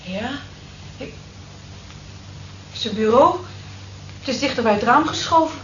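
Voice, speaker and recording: A weary, lovelorn cleaning woman, bitterly resigned and given to short, dejected answers. Her voice sits fairly high. It is a radio drama on a muffled, clearly noisy old recording. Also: animated, a little slow. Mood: uneasy.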